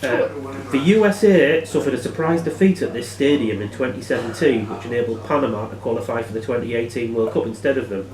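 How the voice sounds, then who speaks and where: A man hosting a quiz as quizmaster, reading questions aloud in a plain, matter-of-fact way and giving brisk rulings. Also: mid-range voice, darkish tone. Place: live in a pub. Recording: echoey room, distant microphone.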